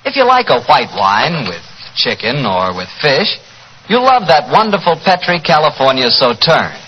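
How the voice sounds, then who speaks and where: A man, announcing and pitching a sponsor in 1940s radio advertising style. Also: huge pitch swings, moderate pace. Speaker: announcer and host reading sponsor ad. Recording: reverberant, muffled, noisy 1940s radio recording.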